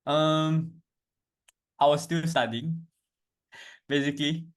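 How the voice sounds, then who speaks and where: A twenty-two-year-old man from Malaysia chatting casually as a podcast guest, halting and repeating himself. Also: on the high side, steady tone. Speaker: podcast guest, crypto trader. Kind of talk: casual chat.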